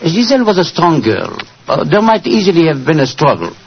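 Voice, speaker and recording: An actor playing a stern, formal French police inspector with a French accent in a 1940s radio drama. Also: male voice, on the high side, sing-song, a little fast, gravelly. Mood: formal, insistent. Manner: formal, insistent. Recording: muffled, hissy 1940s radio recording.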